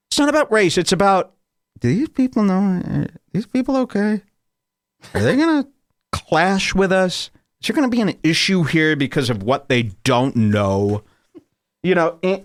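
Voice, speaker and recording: Rich: A man with a higher-than-usual voice that swings widely in pitch, riffing through a provocative comedic rant as a podcast host. The sound is close and dry, with no room around it. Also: no echo. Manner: loose, provocative, laughs at own jokes.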